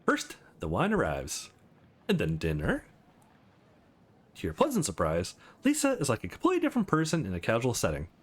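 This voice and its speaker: A man's voice: mid-range voice, huge pitch swings, a little fast, husky. A man who is not a native English speaker, reading a visual novel aloud as a let's play host.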